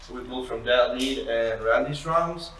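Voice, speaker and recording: Man, bright voice, roomy and off-mic, faint background noise, casual rambling. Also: medium pitch, normal speed, distant mic. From Puerto Rico and Chile. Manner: loose, rambling, laughs along. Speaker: band member guest. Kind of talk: casual interview chat.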